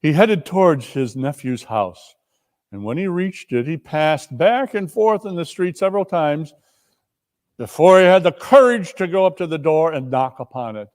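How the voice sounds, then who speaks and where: A man's voice: on the high side, lively intonation, moderate pace, darkish tone, long reverb tail. A man reading a classic story aloud as dramatic narration, with theatrical, emphatic phrasing. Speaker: story reader and performer.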